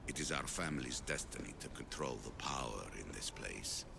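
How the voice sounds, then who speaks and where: A man playing an ancient, solemn father who guards secret knowledge in a video game, speaking gravely and cryptically in a gravelly voice. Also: animated, moderate pace, noisy background, heavily acted. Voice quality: very deep, gravelly, breathy at phrase ends. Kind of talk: video game cutscene acting. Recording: music behind the voice.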